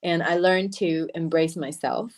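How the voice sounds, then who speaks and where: A woman in her thirties, a native Mandarin speaker with a Mandarin-influenced English accent, talking haltingly with frequent restarts on a video call. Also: lowish voice. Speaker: brand founder, guest. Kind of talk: casual video-call interview. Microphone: laptop webcam, video call.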